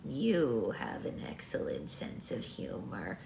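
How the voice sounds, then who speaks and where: Woman putting on a slow, kindly little old grandmother. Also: extremely low pitch, wildly swooping pitch, gravelly, dark tone, pitched below the performer's own voice, exaggerated voice. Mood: amused. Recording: roomy echo, faint background noise.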